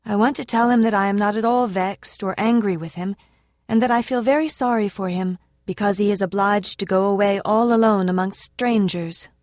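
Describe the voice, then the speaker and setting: A woman voicing a sweet, earnest little girl who speaks in a soft, pleading way. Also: medium pitch, normal speed, no echo, studio quality, close to an everyday voice.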